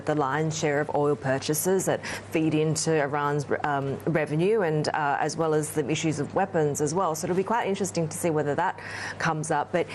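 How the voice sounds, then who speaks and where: Low-voiced Australian woman news anchor. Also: a little hiss or hum. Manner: thoughtful, hesitant restarts, conversational. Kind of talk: live news discussion. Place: on location in Beijing.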